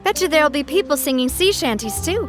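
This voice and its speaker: A woman's voice: sits high, animated, fast, faint music behind. An actress playing a confident, playful young woman who leads a local group, teasing and laughing often, in a game cutscene. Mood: friendly. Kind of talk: game voice acting.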